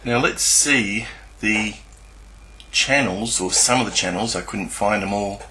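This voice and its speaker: A man with a fairly low voice and a very sharp, forceful edge narrates a screen recording. He presents like an online video creator walking through evidence, with a somewhat halting, hesitant delivery. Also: a little hiss or hum, poor audio. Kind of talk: screen-recording commentary, partly scripted exposé.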